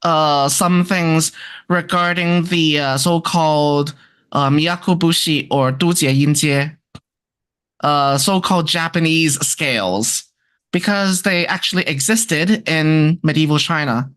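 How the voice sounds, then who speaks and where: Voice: medium pitch, slow pace, a bit bright, clear, resonant tone. A speaker in an informal scholarly discussion, talking hesitantly and often searching for words. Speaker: textbook author and music researcher.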